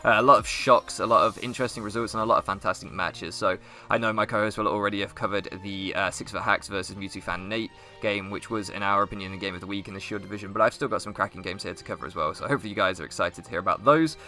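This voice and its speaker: A man co-hosting a league recap, opening the show in an energetic presenter manner. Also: on the low side, quick pace, soft background music. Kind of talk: upbeat scripted-sounding show intro and recap.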